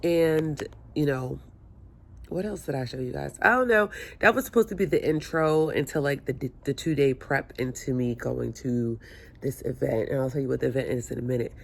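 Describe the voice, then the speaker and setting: A woman's voice: extremely low pitch, moderate pace, gravelly. A woman vlogging casually at home, chatty and rambling, stuffy and hungover, talking into her phone. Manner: chatty, rambling, self-mocking. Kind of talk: casual chat to camera. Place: home room by windows. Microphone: phone held or propped.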